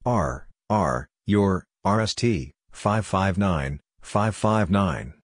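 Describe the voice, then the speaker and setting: Male narrator for a phrase drill: deep, slow, clipped and measured delivery. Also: lively intonation, no echo, clean studio sound. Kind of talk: scripted read-out of short radio phrases.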